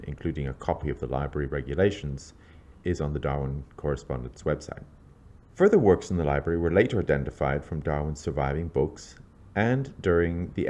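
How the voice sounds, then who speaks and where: A man reading scripted narration aloud in a measured, scholarly, documentary-like way. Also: sits low, dark tone, husky.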